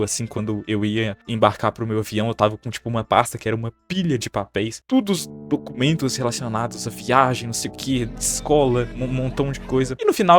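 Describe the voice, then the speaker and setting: Young Brazilian man, Minas Gerais accent, mid pitch, casual chatty vlog storyteller, faint music bed. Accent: Brazilian Portuguese, Minas Gerais. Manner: chatty, frequent tag questions, lively bursts. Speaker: vlogger.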